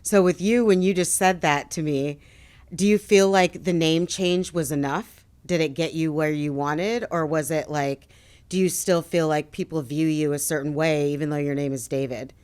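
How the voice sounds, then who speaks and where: A woman's voice: low voice, fairly even intonation, normal speed, a little mellow, clean tone. A woman hosting a podcast interview, asking questions and listening in an attentive, encouraging way. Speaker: podcast host.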